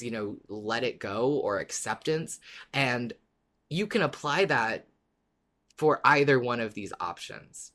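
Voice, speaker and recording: A podcast host talking casually and reflectively, weighing both sides in a measured way. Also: medium pitch.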